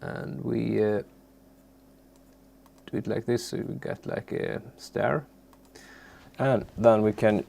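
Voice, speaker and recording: A man who is a non-native English speaker presents an unscripted software tutorial in a halting way, searching for words. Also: fairly low pitch, creaky. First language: not English.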